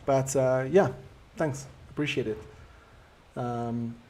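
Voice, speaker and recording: A man with a South African accent, whose first language is Afrikaans, hosting a live stream and answering viewer comments in a relaxed, reflective way. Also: medium pitch, takes it slowly. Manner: reflective, easygoing and conversational.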